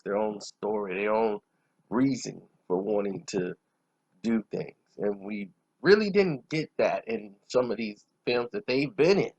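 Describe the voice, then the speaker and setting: A man delivers a casual film review in a slow, creaky voice. He is emphatic and sure of himself, breaking thoughts into short phrases with dramatic pauses. The audio is a little dull. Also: fairly low pitch. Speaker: movie reviewer.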